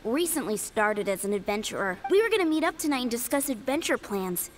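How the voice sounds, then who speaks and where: A woman's voice: a little high, big pitch swings, brisk, a little hiss or hum. A woman playing an eager, earnest young would-be knight in an anime-style video game. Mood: tired, friendly. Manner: enthusiastic, earnest.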